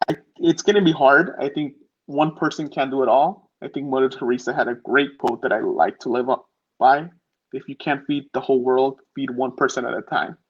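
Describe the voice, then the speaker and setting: A man's voice: medium pitch, breathy edge. A man speaking as a panel guest in a casual, informal way, often saying "like". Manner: informal, uses "like" as filler. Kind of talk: casual discussion. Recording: dull lo-fi audio.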